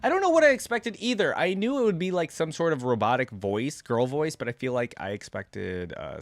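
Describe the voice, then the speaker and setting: A man hosting a reaction video with casual, informal commentary, rambling and thinking out loud. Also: mid-range voice, no room sound.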